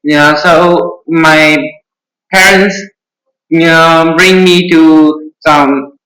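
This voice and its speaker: A teenage boy from Vietnam speaking English with a Vietnamese accent, answering exam questions slowly with many hesitant fillers. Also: a little high, fairly even intonation, sharp tone, roomy sound, poor audio. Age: teens. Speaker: test candidate.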